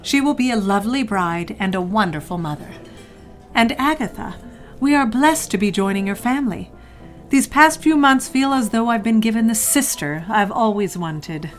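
A woman's voice: fairly high pitch, expressive, normal speed, faint music behind, lightly acted. A woman playing a doting, effusive middle-aged society mother in an audio drama, speaking in a gushing, genteel, exclamatory way. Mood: gushing pride.

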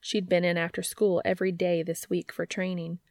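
A woman's voice: fairly low pitch, little pitch movement, normal speed, no room sound, clean studio sound. A woman hosting and narrating fan fiction in a scripted read-aloud style. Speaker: podcast host and fan fiction reader.

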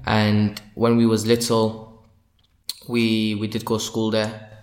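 A man from Iraq, a podcast guest, telling his life story in a halting way, repeating words and adding Arabic religious phrases. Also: fairly low pitch, monotone, darkish tone. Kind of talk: casual interview storytelling. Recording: echoey room.

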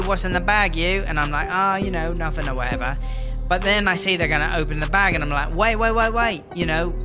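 A man's voice: a little high, close to an everyday voice. A man playing a brash female inmate, rambling through a slangy story in a comedic audio drama.

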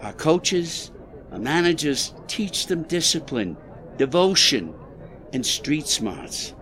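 A man acts out a proud Chicago athletic club boss in a period audio drama. His pitch swings widely as he moves between slippery politeness and combative bluster. He sounds streetwise and quick to take offense. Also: sing-song, a bit bright, exaggerated voice. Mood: boastful, defensive.

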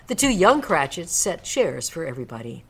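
A woman's voice: low-pitched, sing-song, normal speed, husky. A woman narrator reading a classic story aloud in a dramatic scripted storybook style. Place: radio studio.

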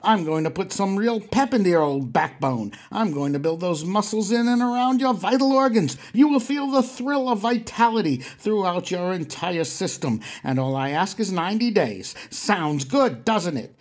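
A man's voice: sits high, big pitch swings, normal speed, hardly any pauses. A man playing a boastful, exhorting 1920s mail-order muscleman, delivering a vintage advertisement. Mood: rousing. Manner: exhortatory, boastful huckster patter. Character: brash 1920s mail-order muscleman pitching body-building to weaklings.

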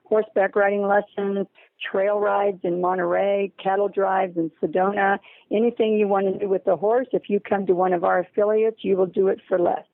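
Female charity spokesperson explaining in an interview, medium pitch, thin phone-quality sound. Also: flat delivery, moderate pace, vocal fry, no echo. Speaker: interview guest, charity representative. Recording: narrow phone-line sound.

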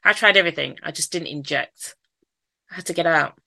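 Bright-voiced Mancunian woman telling her story. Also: deep voice, brisk, creaky, dry recording. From Manchester, England. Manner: candid storytelling, frequent repeats, occasional laughs. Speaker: interviewee. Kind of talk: personal life story in conversation.

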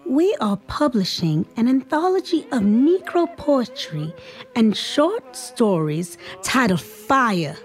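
A woman's voice: fairly high pitch, very animated, slow, soft background music. An actress playing an eager, spirited young woman writer in an audio drama, polite and persuasive as she seeks patronage. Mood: bright, polite.

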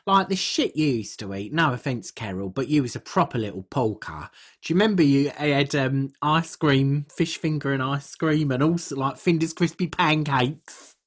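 A performer playing a crude, gossipy middle-aged English woman podcaster, telling rambling comic stories in a blunt, husky way. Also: mid-range voice, animated, moderate pace. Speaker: podcast co-host. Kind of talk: comic character chat, rambling anecdotes.

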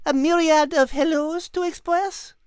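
A woman's voice: extremely high pitch, steady tone, measured pace, a little mellow, no echo, clean studio sound. A woman voicing a refined, learned, cheerful animal character with a French accent and a prim, polite manner in playful podcast banter. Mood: patient explaining.

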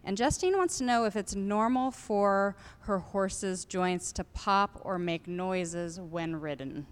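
Smooth-voiced woman moderating a panel. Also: medium pitch, slow pace. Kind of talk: live broadcast moderating.

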